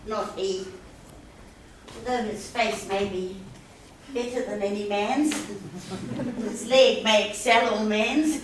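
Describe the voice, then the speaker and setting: A woman's voice: medium pitch, natural voice. A woman playing a teasing, complaining old nurse on stage in Shakespearean style, groaning dramatically and drawing out her words. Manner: dramatic groaning, drawn-out delivery. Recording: echoey hall, distant mic, faint noise.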